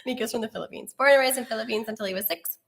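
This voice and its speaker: A Canadian woman chatting casually as a travel vlogger on a live stream, telling stories in an animated, giggly way and acting out exchanges. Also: fairly high pitch, rapid-fire. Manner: animated storytelling, acts out quoted exchanges; giggly, laughs often. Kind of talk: casual chat, answering viewer questions.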